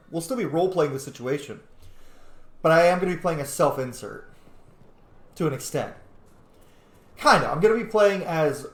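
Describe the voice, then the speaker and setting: A man doing casual gameplay commentary as a streamer, chatty and playful, often correcting himself. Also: mid-range voice. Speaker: video game streamer. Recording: echoey room.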